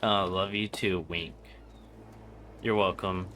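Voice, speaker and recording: Bright, forceful low-voiced man streaming. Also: fairly even intonation, slow pace, a little hiss or hum. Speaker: livestreamer. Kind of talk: casual gameplay stream commentary.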